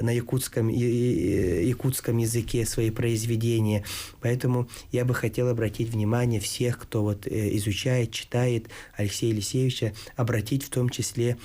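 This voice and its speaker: A man hosting a studio discussion on TV, a native Yakut speaker talking in a measured, formal way with frequent pauses. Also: medium pitch, mellow. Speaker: TV talk show host.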